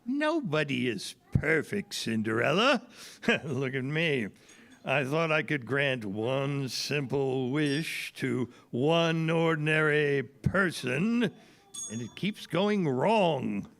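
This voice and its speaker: A man's voice: on the high side, animated, slow pace, vocal fry, fairly bright tone, exaggerated voice. A man playing a fussy, exasperated male fairy godparent in a children's audio play, huffy and theatrical. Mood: huffy, emphatic.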